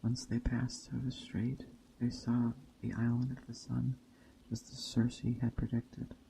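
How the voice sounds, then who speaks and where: Guy reading a greek myth out loud, super monotone, creaky relaxed voice, bit of ambient noise behind. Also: medium pitch, unhurried, poor audio. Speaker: storyteller.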